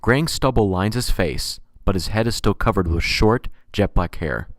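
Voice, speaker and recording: A man's voice: lowish voice, normal speed, a little hiss or hum, natural voice. A man acting as the narrator and announcer of a radio drama, reading scripted narration. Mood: measured, descriptive.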